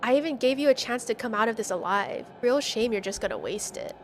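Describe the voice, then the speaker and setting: Woman reading as a menacing man, higher-pitched voice. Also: animated, a little fast, a little hiss or hum, close to an everyday voice. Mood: cold, menacing.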